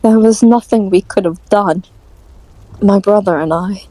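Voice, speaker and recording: A woman playing a bitter, grief-stricken young nobleman with a halting, intense delivery in an audio drama. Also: mid-range voice, mellow, no echo, natural voice, low-quality recording. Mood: grieving, bitter.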